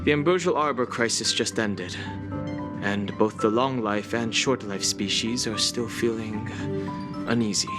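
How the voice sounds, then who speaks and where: A man voicing a calm, sensible young companion in a video game, speaking with dry composure. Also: on the low side, close to an everyday voice. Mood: calm, lightly amused. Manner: measured, composed. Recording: music behind the voice.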